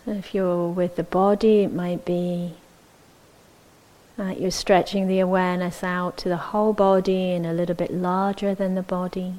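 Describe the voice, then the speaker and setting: A woman teaching meditation, giving gentle, measured instructions with long pauses between short phrases. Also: on the low side, level tone.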